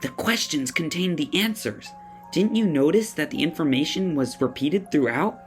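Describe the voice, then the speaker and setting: A woman's voice: deep voice, lively intonation, moderate pace, faint music behind. A woman playing a curious, clever, polite young boy in a family audio drama, earnest and inquisitive. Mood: surprised, curious.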